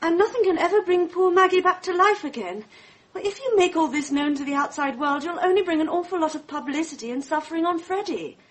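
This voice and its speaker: Self-possessed young lady, very high voice, poised, pointed, radio drama. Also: lively intonation, brisk, poor audio. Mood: persuasive. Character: cool, self-possessed young English mademoiselle.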